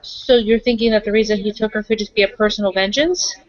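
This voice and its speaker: A woman takes part in a tabletop roleplaying session, moving casually between character speech and asides. Her voice has a middling pitch. The sound is dry, slightly muffled and lo-fi. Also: steady tone, fast, no room sound. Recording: dry but dull, lo-fi microphone.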